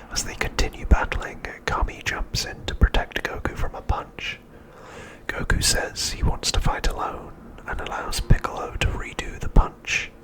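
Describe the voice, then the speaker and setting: A man's voice: a bit bright, low-quality recording. Whispering man narrating ASMR. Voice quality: breathy, unvoiced whisper. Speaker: ASMR host. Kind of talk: whispered ASMR, scripted episode recap narration.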